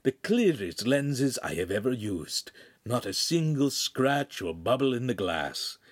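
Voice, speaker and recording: Man playing a gracious, animated Italian nobleman. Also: medium pitch, unhurried, no room sound, studio quality, pitched above the performer's own voice. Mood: delighted. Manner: courtly, effusive, grandly polite. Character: rich, tall, gracious Italian nobleman, pleased and courtly.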